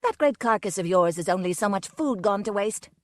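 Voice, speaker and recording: A woman's voice: mid-range voice, expressive, a little fast, lightly acted. A woman voicing a savage, snarling circus wolf-woman who speaks in a biting, mocking way.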